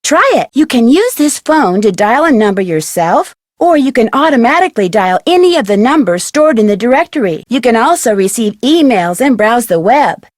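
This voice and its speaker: A woman's voice: fairly high pitch, very animated, moderate pace, few pauses, no room sound, studio quality. A woman playing a perky, polite, inquisitive young detective in a video game, speaking in a chirpy, articulate, friendly way. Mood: cheerful, instructive. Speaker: game protagonist and tutorial guide. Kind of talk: scripted video game voice acting.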